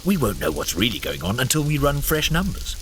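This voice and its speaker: An actor playing a sly, playful courtly schemer in an audio drama, talking in flowery, teasing phrases with theatrical flair. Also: male voice, mid-range voice, very animated, a little fast, a bit bright, slight background noise. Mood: earnest scheming.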